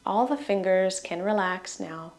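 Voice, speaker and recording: Slow-paced woman guiding meditation. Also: medium pitch. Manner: soothing, gentle instructions in short phrases with trailing ends. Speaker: meditation guide. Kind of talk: guided relaxation meditation.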